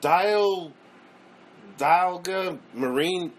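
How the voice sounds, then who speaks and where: A man's voice: sits high, drawn-out words. A man ranting casually in a played video clip, rambling, profane and full of restarts. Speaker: YouTuber.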